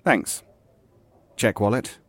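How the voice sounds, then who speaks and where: A man's voice: takes it slowly, a bit bright, no room sound. A man playing a weary, stubborn veteran player of a surreal game, wry and conversational in a naturalistic audio drama. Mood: wry, resigned.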